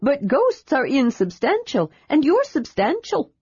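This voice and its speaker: A woman doing a high, excitable young kender. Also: a little slow, no echo, muffled recording, full character voice. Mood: indignant. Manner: high, eager, indignant outbursts. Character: excitable young male kender, chatty and indignant.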